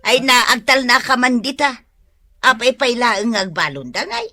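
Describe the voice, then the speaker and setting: A woman plays a nagging wife in an Ilocano radio drama. Her voice is bright, forceful and fairly high, with an Ilocano accent. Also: sing-song, a little slow, no room sound, soft background music, heavily acted. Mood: scolding. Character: nagging wife worried about her sister's young workers.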